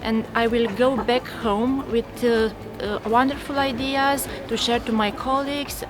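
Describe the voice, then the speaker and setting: A woman's voice: on the high side, steady tone, a little slow. A woman from Romania, representing her university faculty and speaking enthusiastically, with some fillers, in an event interview. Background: background music.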